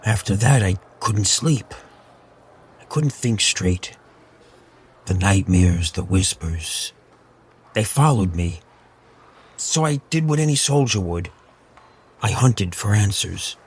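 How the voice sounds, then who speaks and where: A man's voice: fairly low pitch, lively intonation, slow pace, long pauses, husky, heavily acted. A man playing a haunted, battle-hardened army captain, narrating occult horrors in a brooding, theatrical way with clipped dramatic phrases in an audio drama. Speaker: voice actor.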